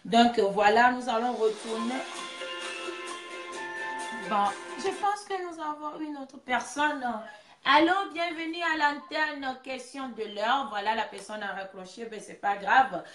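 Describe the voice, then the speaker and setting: High-voiced woman hosting in an echoey room. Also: a little slow, fairly bright tone, soft background music. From Congo. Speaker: host of a call-in show. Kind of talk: casual live call-in chat.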